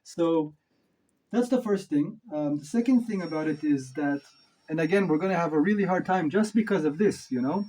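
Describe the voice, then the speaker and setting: Higher-voiced man, casual and opinionated. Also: normal speed, warm tone, low-quality recording. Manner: informal, opinionated, mild swearing. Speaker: presenter reviewing synth modules. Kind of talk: casual off-the-cuff product review.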